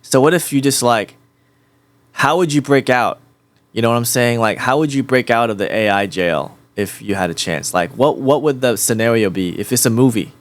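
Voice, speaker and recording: A man hosting a casual chat with an AI assistant, restarting his sentences and circling back to the same point. His voice sits at an ordinary middle pitch, and the sound is dry and close with no room around it. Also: moderate pace, no echo. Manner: rambling, repeats himself, rephrases often. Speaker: host.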